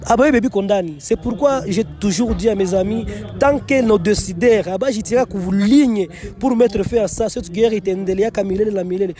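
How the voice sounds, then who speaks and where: A man's voice: high voice, brisk, soft background music. A young man from Goma with a Congolese French accent, an NGO director answering interview questions earnestly and repeating phrases for emphasis, outdoors on location. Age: young adult. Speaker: NGO director and youth political official. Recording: loud crowd noise behind.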